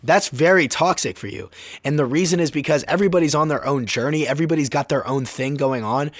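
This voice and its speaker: A young man with a sore throat, slightly hoarse, hosting his own podcast in a laid-back, slangy, rambling way. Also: a little high, fast talker, dry recording. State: sore throat, getting over being sick. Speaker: podcast host. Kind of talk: casual solo podcast talk.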